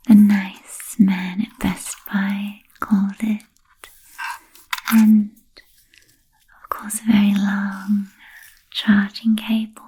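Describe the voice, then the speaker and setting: An ancient, genteel vampire lady played by a woman whispers in a breathy, husky hush for an ASMR roleplay. She is fussy and politely absent-minded, drawing out formal phrases very slowly with hesitant gaps. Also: mid-range voice, heavily acted, rough recording. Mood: puzzled, tentative. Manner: halting, hesitant, formal old-fashioned phrasing.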